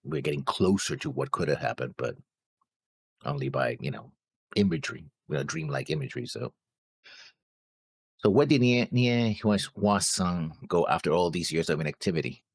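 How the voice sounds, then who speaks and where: A man co-hosting a reaction show, talking casually through notes with halting, noncommittal phrasing. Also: low-pitched, expressive, vocal fry, husky, no echo. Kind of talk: casual discussion, reading out notes.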